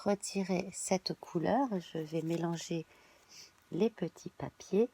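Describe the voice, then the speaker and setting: A woman's voice: on the low side, slow pace, mellow. Woman with a cold reading tarot. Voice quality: hesitant, many fillers and restarts. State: has a cold. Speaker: tarot reader.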